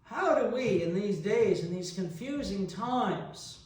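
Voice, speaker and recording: A middle-aged man preaching a reflective sermon, deliberate in delivery, with long pauses and rhetorical questions. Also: high-pitched, expressive, a little mellow. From New Brunswick, Canada. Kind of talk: reflective sermon with personal anecdotes. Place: large reverberant church interior. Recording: echoey church, picked up from a distance.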